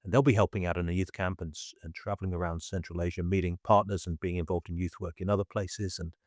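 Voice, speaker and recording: Deep-voiced man hosting a podcast. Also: steady tone, quick pace, no echo, studio quality. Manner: hesitant, many fillers and restarts. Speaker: podcast co-host. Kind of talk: casual conversational podcast.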